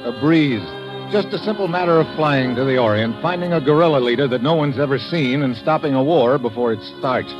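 A man's voice: mid-range voice, a little fast, natural voice. A man playing a wry, hard-boiled secret agent in old radio noir, narrating and talking in clipped, sardonic phrases. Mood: wry, world-weary. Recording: dull old radio broadcast. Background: music.

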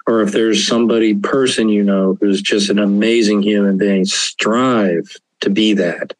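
A man's voice: fairly low pitch, fairly even intonation, measured pace, no room sound, poor audio. An older man, an author, talking earnestly and reflectively as an interview guest, often laughing. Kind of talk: casual interview talk.

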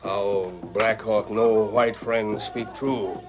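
An actor playing a stern, proud, wary chief in an old radio western, speaking terse, halting broken English with grunts. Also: male voice, on the low side, little pitch movement. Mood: stern, guarded. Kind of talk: 1940s radio western acting. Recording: muffled old radio recording with music.

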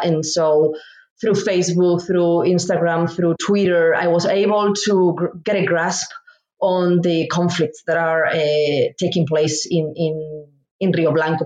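Brief: woman from Spain, academic guest, measured and reflective, roomy recording. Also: deep voice, a little mellow, muffled recording. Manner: measured, thoughtful, pauses to find words. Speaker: guest author, professor. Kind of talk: academic interview answers. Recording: roomy echo.

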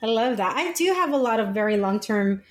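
A woman in her forties with a loud voice, co-hosting a casual chat and telling animated stories. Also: on the high side, a little fast. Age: 40s. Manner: chatty, animated storytelling. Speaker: podcast co-host.

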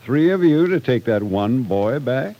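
A man's voice: mid-range voice, lively intonation, unhurried, highs cut off. An actor playing an exasperated, protective older man with an incredulous, scolding manner in an old-time radio soap opera.

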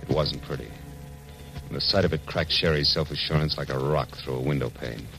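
An actor playing a cynical, tough-talking private detective in the wry, slangy manner of 1940s radio noir. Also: male voice, a bass voice, brisk, vocal fry. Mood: grim, wry narrating. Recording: old 1940s radio broadcast, music behind.

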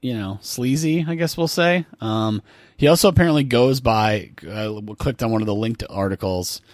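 A man's voice: mid-range voice, moderate pace, no echo, clean studio sound. An American man chatting casually as a comedy podcast co-host, riffing with wry, exasperated, hedging commentary. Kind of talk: casual comedic chat, riffing on a news story.

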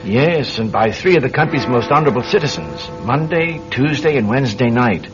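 Actor as animated young newsman. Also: medium pitch, fairly quick, music in the background, lightly acted. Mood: musing, intrigued. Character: polite young British newsman, the sergeant's inquisitive nephew. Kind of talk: radio drama acting. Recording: dull radio drama recording.

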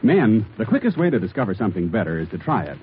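A man's voice: on the low side, very animated, fast talker. A man reading an old-time radio commercial as an announcer. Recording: muffled vintage radio recording, faint noise.

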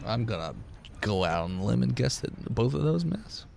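Animated, gravelly man running a tabletop game. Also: mid-range voice, normal speed. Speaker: game master. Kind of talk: casual improvised tabletop game narration and banter.

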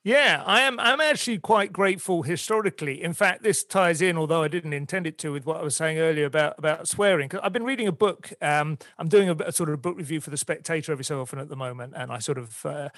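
Bloke co-hosting a podcast, a bit high voice, wry and opinionated, dry sound. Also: male voice, fast talker, few pauses, fairly bright tone, dry recording. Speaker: guest co-host. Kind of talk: casual podcast chat.

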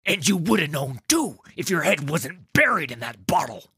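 Narrator doing a gruff thug boss guy, slow raspy growl, sneering audiobook bad guy. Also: mid-range voice, very animated, a bit bright, no room sound, clean studio sound, exaggerated voice. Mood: irritated. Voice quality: growling, snarling, husky. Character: gruff, scornful male thug guard captain. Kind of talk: dramatic audiobook villain acting.

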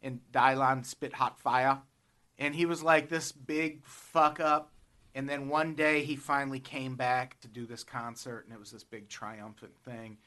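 A man's voice: mid-range voice, flat delivery, a little slow, bright, forceful tone. A man, a comedian, chatting casually and jokily, acting out quotes in other people's voices. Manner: jokey, acts out quotes with voices.

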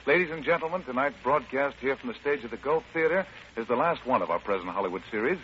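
A man's voice: medium pitch, lively intonation, fast talker, fairly bright tone. An American man with a polished, theatrical, explanatory manner, presenting and acting in 1940s radio style. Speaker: radio show host and detective lead. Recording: muffled, hissy old radio broadcast.

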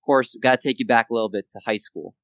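A man's voice: medium pitch, racing, a bit bright, no room sound. A man hosting a casual podcast interview, asking questions in an easygoing, upbeat way. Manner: upbeat hype intro, then casual questions. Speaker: podcast host.

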